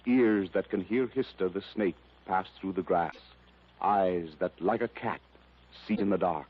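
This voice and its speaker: Man narrating solemn adventure tale, low husky voice, muffled old radio sound. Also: unhurried. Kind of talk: scripted dramatic narration.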